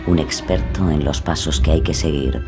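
Extremely deep male narrator over music. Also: normal speed. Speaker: narrator introducing a story. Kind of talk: atmospheric scripted narration.

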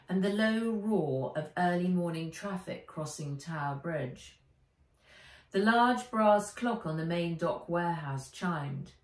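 A middle-aged woman, an author reading aloud from a children's storybook in an expressive narrating style. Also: fairly low pitch. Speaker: author reading own book. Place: living room or sunroom, indoors. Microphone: camera or phone a few feet away. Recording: roomy, distant home recording.

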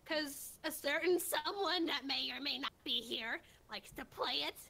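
A woman's voice: sits high, unhurried, gravelly, sharp tone. A woman chatting casually and playfully as a livestream quiz contestant, informal and giggly. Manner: giggly, informal slangy talk.

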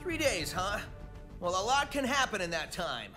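An actor playing a breezy, confident young hedgehog hero in a video game, cocky and encouraging. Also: male voice, sits high, very animated, moderate pace. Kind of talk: video game cutscene acting. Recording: game music behind.

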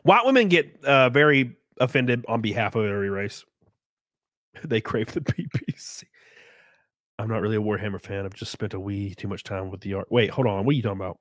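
A man in his thirties hosting a casual live stream from a small home room, close on a boom mic, joking crudely and sounding congested from a cold. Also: fairly quick, fairly bright tone, no room sound. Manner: crude, joking, laughs often. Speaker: livestream host. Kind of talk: casual live-stream chat reading donations. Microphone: studio mic on boom arm, close.

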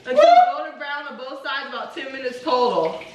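A woman's voice: high voice, fairly quick. A woman in her twenties in a home kitchen, reading recipe steps aloud and teasing her partner. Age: 20s. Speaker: vlogger. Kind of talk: casual cooking vlog chat. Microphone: camera mic across the kitchen. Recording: echoey kitchen, far from mic, noisy.

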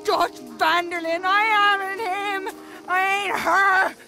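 A woman's voice: very high-pitched, steady tone, slow pace, heavily acted. An actress playing a drunk, bitter jilted woman in a video game, slurring taunts defiantly. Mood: drunk fury. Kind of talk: dramatic video game acting. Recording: loud music behind.

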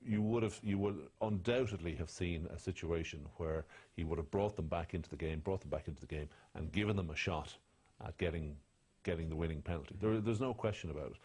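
Male pundit, very low and husky, blunt and indignant. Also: a little fast, few pauses. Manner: blunt, indignant, drops quiet and low when condemning. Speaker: rugby analyst. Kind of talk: studio match analysis.

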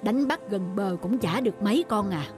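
A woman's voice: mid-range voice, lively intonation, normal speed, music in the background, close to an everyday voice. A woman voicing a weary, discouraging middle-aged fisherman in a cartoon folk tale, blunt and grumbling. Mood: doubtful, grumbling.

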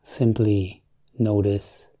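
Low-pitched man guiding meditation, very unhurried. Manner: calm, measured, long pauses between short phrases. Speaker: meditation guide. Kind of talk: guided meditation.

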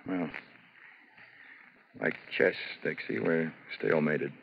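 An actor playing a cold, embittered husband in a 1950s radio drama, terse and menacing. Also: male voice, extremely low pitch, slow pace, vocal fry, exaggerated voice. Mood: cold resignation. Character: cold, embittered husband who hates his wife. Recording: dull, noisy 1950s radio broadcast.

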